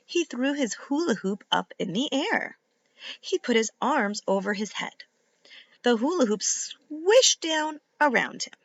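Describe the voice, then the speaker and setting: A woman reading a children's book aloud like a teacher, in an expressive, deliberate and instructive way. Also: sits high, dry recording, dull audio. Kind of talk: animated read-aloud narration for young children.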